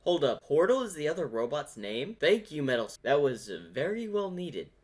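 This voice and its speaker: A man's voice: on the high side, sing-song, moderate pace, over loud music. A man giving casual off-the-cuff reaction commentary as he watches a video.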